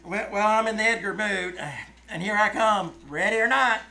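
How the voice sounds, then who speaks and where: A woman's voice: medium pitch, moderate pace, a crowd in the background, lightly acted. A woman playing a skeptical, wisecracking young boy with a dry, sarcastic manner in old-time radio comedy. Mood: hammy, playful. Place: live on a small theater stage.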